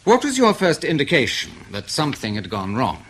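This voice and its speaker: An actor in radio drama playing an intelligence interrogator in his thirties, measured and probing, polite but insistent. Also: medium pitch, huge pitch swings, a bit bright, slight background noise. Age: 30s.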